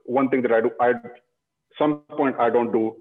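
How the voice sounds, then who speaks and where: A man's voice: mid-range voice, fairly even intonation, normal speed. A man from Pakistan, a guest in a casual interview, speaking reflectively with many restarts and "you know"s. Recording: tinny phone-like line.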